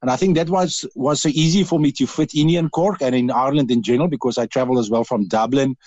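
A man's voice: mid-range voice, fast, darkish tone, no room sound, highs cut off. A man from South Africa with a South African accent, calling in to a radio interview and talking earnestly, with frequent halts and restarts. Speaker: radio caller.

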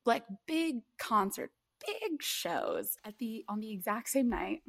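A woman's voice: a little high, sing-song, slow pace, creaky, a bit bright, no echo. A woman co-hosting a casual podcast, chatting in a playful, mock-dramatic way. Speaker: podcast co-host.

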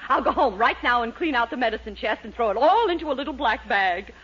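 A woman playing a bossy, scheming young girlfriend with a brisk, commanding manner in a 1940s radio comedy. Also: fairly high pitch, expressive, a bit bright, husky. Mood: bossy, eager. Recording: dull, band-limited 1940s radio recording.

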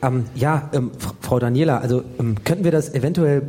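A man's voice: mid-range voice, a little fast, dark tone, natural voice. A man playing a wary, easily rattled boy detective in a comic audio drama, given to hesitant complaints and outbursts. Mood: nervous, hesitant.

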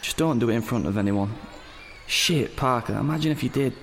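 An actor playing an uneasy, scolding young friend in an audio drama, with a creaky voice and faint ambience behind. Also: male voice, mid-range voice, fairly quick, a little hiss or hum, natural voice. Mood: worried, scolding.

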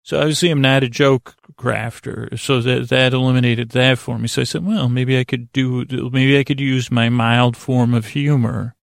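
Male bedtime podcast host: gravelly, even-toned, soothing, a hesitant and self-mocking rambler. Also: medium pitch, no echo, clean studio sound. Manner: meandering, halting, self-deprecating, repeats himself; lulling, soothing delivery.

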